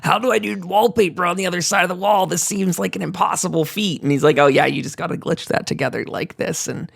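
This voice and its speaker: A man's voice: high voice, fast, vocal fry, a bit bright, husky, dry recording, clean studio sound. A man hosting a gaming roundtable in a chatty, enthusiastic way, rambling off into asides. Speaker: host of a gaming roundtable show. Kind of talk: casual panel discussion.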